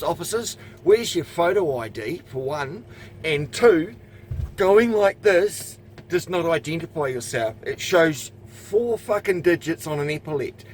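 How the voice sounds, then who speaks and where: Host voice: higher-pitched New Zealand man, lecturing and swearing, faint hum behind. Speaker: video channel host commenting. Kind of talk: angry commentary.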